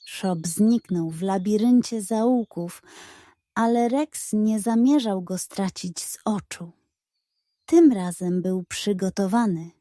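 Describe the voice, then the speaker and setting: Female storyteller for children's detective tales: unhurried, soft-toned, suspenseful, recorded dry. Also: medium pitch, no room sound.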